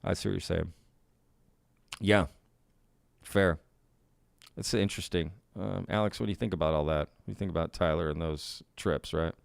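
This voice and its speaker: Casting note: relaxed male stream host, low-pitched and a bit gravelly, folksy y'all-heavy chat, close dry mic. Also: moderate pace, long pauses, no room sound. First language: bilingual Spanish and English. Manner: laid-back, folksy, says y'all often. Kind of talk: casual live Q&A, reading chat comments aloud.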